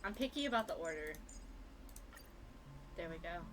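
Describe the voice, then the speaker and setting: A woman's voice: on the low side, lively intonation, moderate pace, noisy background. A woman game streamer giving informal, slangy, reactive commentary as she plays. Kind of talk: casual live game commentary. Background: game music.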